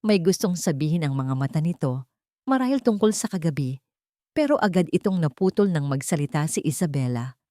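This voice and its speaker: Woman narrating drama with lively intonation. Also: low voice, a little fast, dry recording, clean studio sound. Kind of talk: dramatic scripted story narration.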